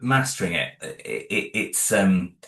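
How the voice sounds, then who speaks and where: A man's voice: low-pitched, big pitch swings, gravelly, a bit bright, echoey room. A man being interviewed about the audio drama he created. He talks casually and thoughtfully, with many restarts. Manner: thoughtful, halting, many restarts and "you know".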